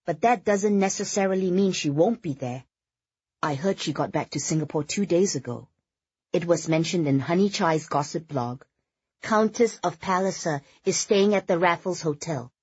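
Audiobook role: entitled, flirtatious rich woman read by a woman, chirpy and pushy, raised pitch, slightly dull recording. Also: lively intonation, moderate pace, a little mellow, husky, no room sound. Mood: insistent. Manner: chirpy, wheedling. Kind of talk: audiobook character dialogue.

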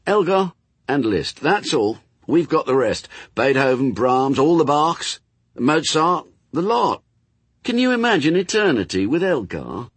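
A man's voice: on the high side, very animated, a little slow, husky, dry recording, voice pushed up, full character voice. A man voicing a sardonic, worldly, persuasive demon with a punchy, insistent, colloquial manner in an audiobook story. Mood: desperate persuasion.

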